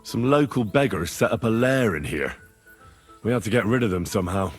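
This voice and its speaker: An actor playing an earnest, polite young knightly adventurer with a husky voice in a video game. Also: male voice, on the low side, expressive, brisk, soft background music, lightly acted. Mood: conversational. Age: young adult. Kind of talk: naturalistic video game cutscene acting.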